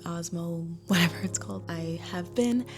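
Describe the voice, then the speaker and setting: A woman's voice: lowish voice, normal speed, over music. A woman in her thirties chatting candidly to camera in a casual vlog, full of "like" fillers. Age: 30s. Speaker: vlogger. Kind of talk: casual talking-head chat. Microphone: small handheld camera.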